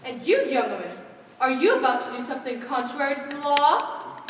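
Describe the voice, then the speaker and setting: A woman's voice: sits high, sing-song, brisk. A young woman on stage playing a stern, pompous magistrate who scolds and commands. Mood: stern, indignant. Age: teenager. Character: stern officious female magistrate enforcing a humor ban. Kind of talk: high school stage play acting. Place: stage in a large hall, recorded from afar. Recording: echoey hall, distant microphone, background noise.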